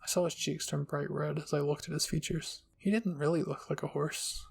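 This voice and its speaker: A man's voice: fairly high pitch, steady tone, normal speed, creaky, faint music behind. A man narrating a scripted first-person story as the host of an audio channel.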